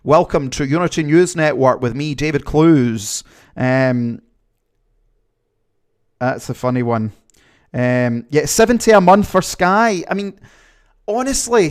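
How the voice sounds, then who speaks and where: A man with a Glaswegian accent hosting a livestream, chatting casually and reading viewer comments, rambling with many fillers. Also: on the high side. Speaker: livestream host.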